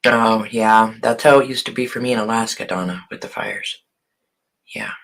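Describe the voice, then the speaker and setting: A hoarse woman who has partly lost her voice, chatting casually on a live stream and greeting viewers by name. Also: rumbling low, normal speed, fairly bright tone. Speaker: online shop owner hosting a live video.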